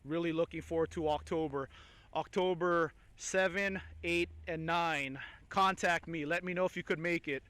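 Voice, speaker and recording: A man with a fairly high, clean and smooth voice talks informally, as a vlogger does to viewers. His delivery is casual and enthusiastic. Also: steady tone, normal speed, fairly bright tone, dry recording. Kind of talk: casual chat to camera.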